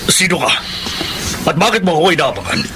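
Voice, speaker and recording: A man's voice: on the high side, very animated, a little fast. An actor playing a wronged, defensive old businessman father in a melodramatic Filipino radio drama, with a Filipino accent. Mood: startled. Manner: indignant, self-justifying, melodramatic. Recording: noisy radio drama recording.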